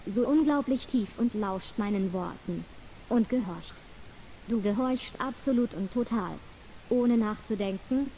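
A woman leads a listener into trance with a slow, soothing, repetitive hypnotic cadence. Her voice sits a little high but sounds mellow and warm. A faint hiss runs under the recording. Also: poor audio. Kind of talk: scripted hypnosis trance induction.

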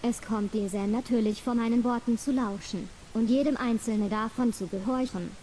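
A woman leads a listener into trance with a slow, soothing, repetitive hypnotic cadence. Her voice sits a little high but sounds mellow and warm. A faint hiss runs under the recording. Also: fairly even intonation, low-quality recording. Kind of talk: scripted hypnosis trance induction.

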